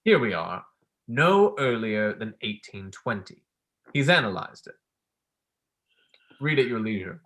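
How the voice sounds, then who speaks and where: A man's voice: on the low side, big pitch swings, measured pace, long pauses, a bit bright. Young man acting a smug academic, swooping intonation. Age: young adult.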